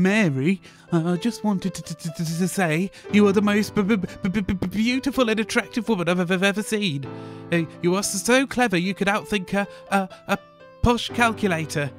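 A man's voice: sits high, close to an everyday voice. A man playing a timid, lovelorn skinny suitor with a heavy stammer in a comic radio advert. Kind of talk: comedic radio advert sketch.

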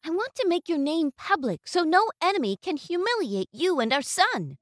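A woman's voice: very high voice, big pitch swings, moderate pace, no echo. A woman voicing an earnest young princess in a fairy tale cartoon, anxious and melodramatic. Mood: troubled, insistent. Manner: melodramatic, pleading. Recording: music behind the voice. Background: music.

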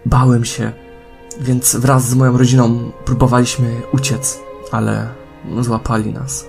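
A man voicing a terrified, mutilated survivor in a manga dub, halting and pleading. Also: mid-range voice, flat delivery, vocal fry, darkish tone, soft background music. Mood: frightened, grieving. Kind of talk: dramatic manga voice acting.

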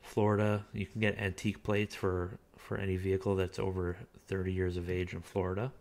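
Low-voiced man casually reviewing a car. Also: a little fast. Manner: relaxed, reads listing aloud with filler uhs. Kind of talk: casual screen-recorded commentary.

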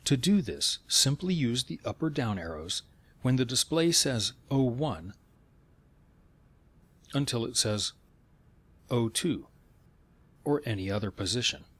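A man reading scripted instructional narration in a measured, step-by-step way. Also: medium pitch, expressive, fairly bright tone, slightly rough.

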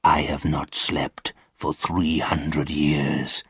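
A man voicing a lonely, sorrowful old ghost in a storybook, weary and solemn, with a husky voice. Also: extremely low pitch, a bit bright, no echo, studio quality, pitched below the performer's own voice, heavily acted. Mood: weary, pleading. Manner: slow, weary, solemn delivery.

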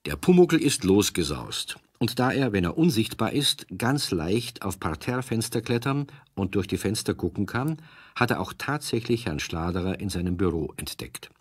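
A man with a husky voice narrating a scripted children's radio play as a storyteller. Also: lowish voice, very animated, normal speed, dry recording, studio quality.

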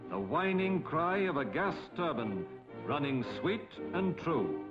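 A British male narrator with a fairly high voice delivers formal, rhetorical 1950s film commentary. The soundtrack is dull and dated, with prominent music behind him. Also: big pitch swings, slow. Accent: British English. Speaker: documentary narrator.

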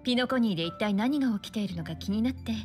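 An elegant, mature navigator from a game, acted by a woman, with a fairly high voice. She sounds poised, confident and gently playful over faint music. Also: animated, racing, lightly acted. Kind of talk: anime-style video game acting.

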